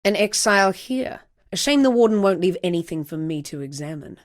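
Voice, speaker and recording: A woman's voice: lowish voice, lively intonation, brisk, no echo, clean studio sound, natural voice. An actress playing a cruel, haughty female villain in a video game, with a silky, mocking and condescending manner. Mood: haughty mockery.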